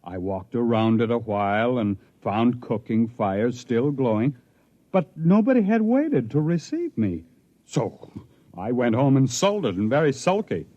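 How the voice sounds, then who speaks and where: A man's voice: mid-range voice, big pitch swings, a little slow, dark tone, dry recording. An actor playing a proud, intense stranded spaceman telling his story in a 1950s radio drama, with emphatic, dramatic delivery. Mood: wry, wistful. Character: stranded human spaceman recounting his life among aliens, proud, intense. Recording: muffled vintage 1950s radio recording.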